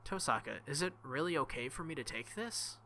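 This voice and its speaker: A man reading the lines of an earnest, steady teenage boy fighter in his own voice. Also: medium pitch, fairly quick.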